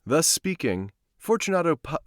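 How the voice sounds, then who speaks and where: A man's voice: a little high, normal speed, dry recording, clean studio sound. A man reading a gothic horror story aloud in a dramatic storytelling style, sometimes stumbling and going back over a line. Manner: expressive, stumbles and rereads lines. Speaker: streamer reading stories aloud.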